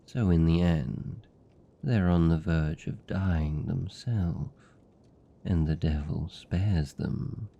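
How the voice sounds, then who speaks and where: Casting: a man to play a menacing yet tender British vampire, extremely unhurried, slightly muffled sound, teasing intimate ASMR delivery. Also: exaggerated voice. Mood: brooding, musing. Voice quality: gravelly, dark, low. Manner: slow, hushed, deliberate, teasing. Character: seductive possessive male vampire captor, tender yet menacing. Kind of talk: intimate ASMR fantasy roleplay.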